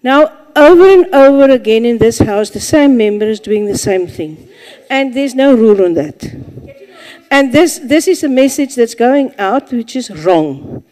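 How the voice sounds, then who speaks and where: A woman's voice: a little high, animated, dark tone. A middle-aged woman firmly citing procedural rules in a parliamentary session. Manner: firm, procedural, measured. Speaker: member of parliament. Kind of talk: parliamentary point of order. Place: large parliament chamber, indoors. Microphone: desk microphone, seated. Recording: reverberant parliament chamber.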